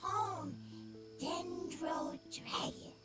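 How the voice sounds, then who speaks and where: A woman voicing a small, simple fungus creature in a video game, speaking in halting, broken phrases. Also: very high-pitched, heavily acted. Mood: hopeful. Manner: very slow, broken short phrases. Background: game music.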